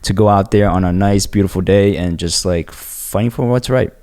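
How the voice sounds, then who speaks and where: A man with a lowish voice that is hoarse from shouting talks in a relaxed podcast chat. He is laid-back and slangy, and he often agrees with the other host. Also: normal speed.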